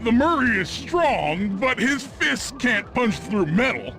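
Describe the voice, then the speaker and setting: A man's voice: extremely high pitch, sing-song, takes it slowly, creaky, fairly bright tone, faint music behind, exaggerated voice. A man voicing a big, childlike, boastful cartoon animal sidekick in a video game, simple and eager. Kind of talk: video game cutscene voice acting.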